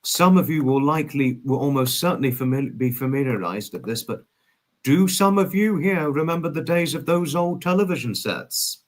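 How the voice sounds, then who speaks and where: A man's voice: a little high, lively intonation, normal speed. A man in his twenties chatting casually as a livestream host, reading and answering viewers, effusively polite and wordy, often repeating his phrases.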